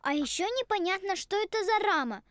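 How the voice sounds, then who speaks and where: Casting: little critical cartoon creature, childlike and inquisitive, squeaky-high with animated pitch. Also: brisk, noisy background. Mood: picky. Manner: childlike, pointed, correcting.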